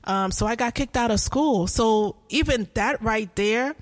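A woman's voice: fairly high pitch, unhurried. A woman in her forties, a nursing instructor, co-hosting a casual chat, speaking earnestly and laughing often. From United States.

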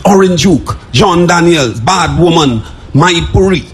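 A man performing a dramatic spoken-word poem in a declamatory, drawn-out delivery. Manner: declamatory, drawn-out delivery with big swings in pitch.

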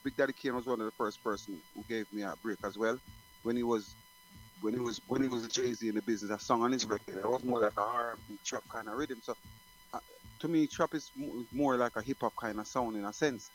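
A man's voice: mid-range voice, steady tone, fast talker, slight background noise, rough recording. A middle-aged man from Jamaica, a guest singer talking casually in an interview, rambling with many restarts.

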